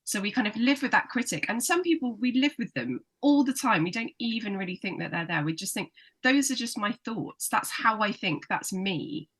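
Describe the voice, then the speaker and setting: Woman therapist chatting on a podcast, bright voice, acts out her inner critic. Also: mid-range voice, expressive, normal speed, husky, low-quality recording. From United Kingdom. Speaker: psychotherapist guest. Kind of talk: casual chat, explaining.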